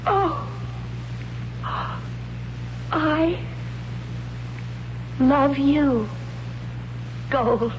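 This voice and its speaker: A naive young woman of a future tribe in a vintage radio drama. She is fairly high and speaks extremely slowly, halting and wondering. The sound is dull and humming. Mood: tender. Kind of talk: 1970s radio drama acting. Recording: dull noisy 1970s radio recording with hum.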